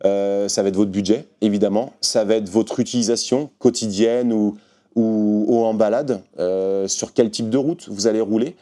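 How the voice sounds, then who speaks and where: A man with a fairly low, dark and relaxed voice. He presents gear from a shop's point of view, talking straight to the viewer. His explanations are informal and practical. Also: moderate pace. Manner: informal, hands-on explaining, addressing the viewer directly. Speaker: motorcycle shop presenter. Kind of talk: casual product explainer to camera.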